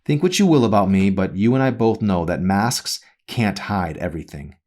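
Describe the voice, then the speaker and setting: A man's voice: fairly low pitch, lively intonation, normal speed, a little mellow. A man voicing an anxious, critical mother in an audiobook, fretful and prying in manner. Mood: disapproving. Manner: fretful, prying, over-earnest. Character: Amy, anxious, critical, prodding mother of a teenage girl.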